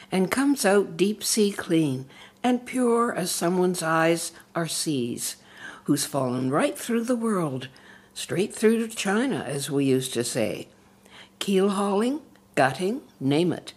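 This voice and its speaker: A woman with a husky voice reading poetry in measured, deliberate phrases. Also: fairly low pitch, a little mellow. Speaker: poetry reader.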